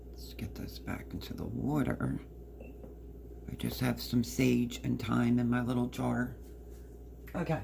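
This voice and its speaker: A woman with a hoarse voice casually explaining a recipe step by step in her home kitchen. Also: very deep voice, slow, pauses often, warm tone, a little hiss or hum. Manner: chatty, explains step by step while working. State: hoarse, partly lost voice. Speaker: home cook. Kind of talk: casual cooking demonstration.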